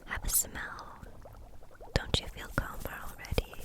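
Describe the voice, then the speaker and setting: Woman playing a whispering gentle kitchen witch. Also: lightly acted. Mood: gentle, soothing. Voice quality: breathy unvoiced whisper. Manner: soft, slow, caring, unhurried. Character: gentle, soothing kitchen witch brewing potions. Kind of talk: whispered ASMR roleplay.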